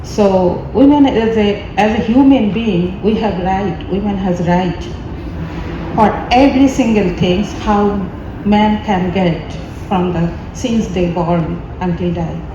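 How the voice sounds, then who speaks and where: A woman author describes her book on a panel, halting and searching for words. The sound is dull and lo-fi, in a very echoey hall with noise behind. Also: mid-range voice. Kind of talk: panel discussion answer.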